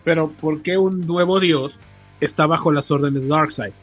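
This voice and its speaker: A man's voice: on the high side, normal speed, muffled recording, faint music behind. A man with a Mexican accent co-hosting a podcast, bantering and asking questions in a joking, irreverent, sweary way. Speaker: podcast co-host.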